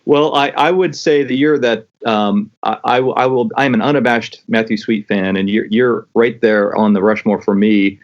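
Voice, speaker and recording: A man's voice: fairly low pitch, steady tone, moderate pace, hardly any pauses. A man hosting a podcast, talking eagerly with many restarts and stumbles in a casual interview. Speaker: podcast host. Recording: dull lo-fi sound.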